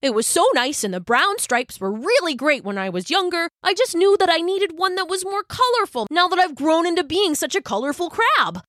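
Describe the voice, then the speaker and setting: An enthusiastic male hermit crab with a sentimental streak, performed by a woman. The voice is high, chatty and eager, as in a children's story. It is recorded dry. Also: animated, normal speed, few pauses, fairly bright tone, dry recording. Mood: wistful.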